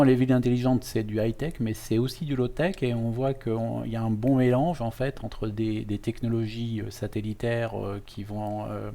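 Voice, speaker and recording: A man's voice: lowish voice, warm tone. A man hosting an educational interview in a conversational way, searching for his phrasing as he goes with frequent restarts. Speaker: host, university professor interviewing a guest.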